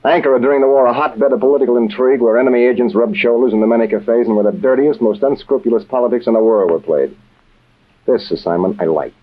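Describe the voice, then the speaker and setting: An actor playing a brash, wisecracking American special agent in an old-time radio adventure, with slangy, cocky delivery on a muffled vintage recording. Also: male voice, medium pitch, fast talker, lightly acted. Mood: brash, restless.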